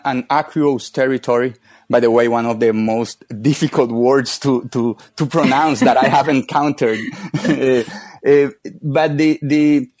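A man's voice: medium pitch, a little mellow, no room sound. A man from northern Colombia, a historian being interviewed about his book, who often stops and restarts his sentences. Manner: halting, many repeated words and restarts. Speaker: historian, author and guest. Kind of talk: academic interview.

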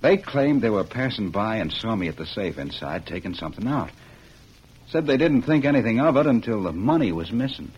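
An actor playing a wronged young cowboy ex-convict in a vintage radio western, speaking plainly and earnestly. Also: male voice, fairly low pitch, big pitch swings, brisk. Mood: bitter, earnest. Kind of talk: 1940s-50s radio western acting. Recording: muffled, hissy old radio recording.

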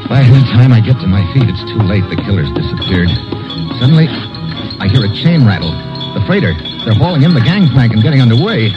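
Guy doing a tough wisecracking radio gumshoe, talks quick, clipped, dull vintage recording. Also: medium pitch, big pitch swings, over loud music, close to an everyday voice. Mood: tense, urgent. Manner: clipped, slangy, sardonic. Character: tough, wisecracking male investigator narrating his case. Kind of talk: hard-boiled old-time radio narration and acting.

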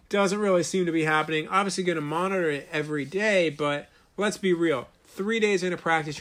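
A man's voice: a little high, a bit bright. A man in his late thirties hosting a solo sports podcast, talking conversationally and emphatically, repeating points to stress them. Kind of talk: solo sports podcast commentary with host-read ads.

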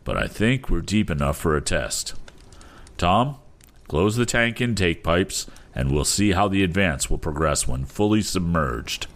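Guy as an old inventor dad, very low, firm and commanding, adventure storybook. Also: expressive, a little slow, fairly bright tone, exaggerated voice. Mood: firm, deliberate. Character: aged, determined, commanding male inventor father.

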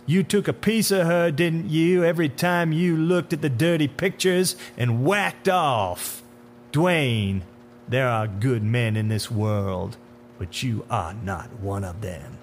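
A sinister, smooth-talking stranger in a dark suit, acted out by a male storyteller, taunts his victim in a horror tale. He speaks with theatrical deliberation and sneering rhetorical questions. A noticeable hum sits behind the voice. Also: mid-range voice, animated, a little slow. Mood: furious, accusing. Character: menacing, taunting dark-suited man, smooth-talking otherworldly bringer of death. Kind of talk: dramatic horror audiobook acting.

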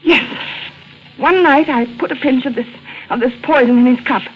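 An actress playing a calm, sinister wife confessing to poisoning in a 1940s radio drama. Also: female voice, high-pitched, close to an everyday voice. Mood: coolly sinister. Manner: quiet, measured, coolly sinister. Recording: dull old radio broadcast with hiss.

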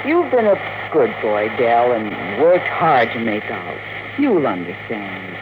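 A woman's voice: a bass voice, big pitch swings, slow, very noisy, heavily acted. An actress playing a weary but firm woman of the household in an old-time radio drama. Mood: sad, gentle. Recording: tinny old radio recording with music.

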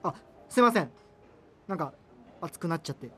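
A man's voice: fairly high pitch, animated, fairly quick, close to an everyday voice. Radio drama role: male actor as hesitant, earnest young culture geek, wordy and apologetic, over a music bed. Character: awkward, earnest, apologetic subculture-obsessed young man. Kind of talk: naturalistic radio drama dialogue and monologue.

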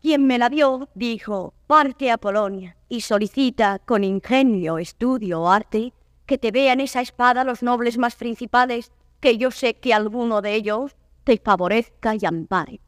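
Guarded young foreigner played by a woman, solemn classical verse, slightly high voice, dry sound. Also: unhurried, no echo, natural voice. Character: young foreign captive in male disguise, proud, secretive. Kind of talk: classical Spanish verse drama, declaimed.

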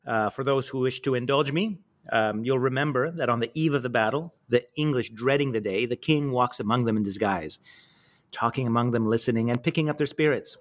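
A man's voice: medium pitch, a little fast. An American man hosting a podcast, giving a chatty, self-deprecating spoken introduction. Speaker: podcast host. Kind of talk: conversational introduction.

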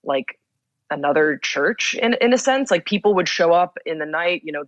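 A young adult who grew up in Belgium, a guest in a casual interview, talking with quick bursts, lots of fillers and restarts. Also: medium pitch, animated, no echo, highs cut off. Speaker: podcast guest.

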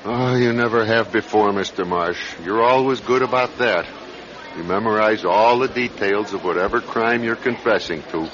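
An actor in a radio drama playing a weary, dismissive police sergeant, gruff, sardonic and colloquial. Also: male voice, fairly low pitch, normal speed, crowd noise, natural voice. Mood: patient, sardonic. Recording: dull lo-fi radio recording.

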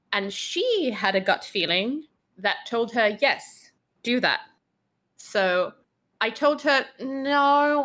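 A woman's voice: fairly high pitch, pushed and bright. A woman guest on a podcast, chatting and telling a funny personal story. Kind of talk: casual chat, telling an anecdote.

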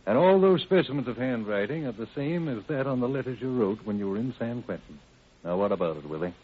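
A man's voice: mid-range voice, animated, quick pace, husky. A man playing a stern, relentless police interrogator in a 1930s radio crime drama. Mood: grimly certain. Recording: muffled, tinny old radio recording.

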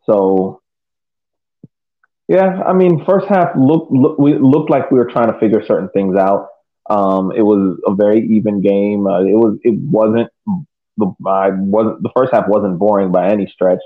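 Male podcast analyst on a thin remote-call line, fairly deep, casual, thinking aloud. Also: measured pace. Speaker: podcast co-host. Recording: tinny phone-like line.

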